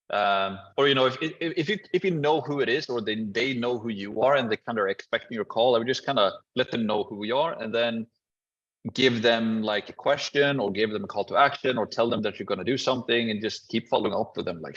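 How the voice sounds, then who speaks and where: A man coaching callers on a group video call, giving relaxed, casual advice. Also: medium pitch, muffled recording. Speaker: business coach hosting a Q&A call.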